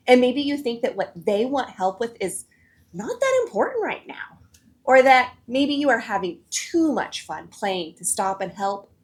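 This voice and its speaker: A woman hosting a children's read-aloud show, addressing young viewers in a friendly, animated, encouraging way. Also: a little high. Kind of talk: scripted presenting to children.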